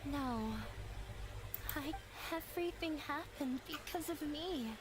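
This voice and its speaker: A woman's voice: high voice, takes it slowly. A woman playing a young runaway daughter in an anime game, guilt-ridden and resigned. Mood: downcast, resigned. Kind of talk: anime video game voice acting.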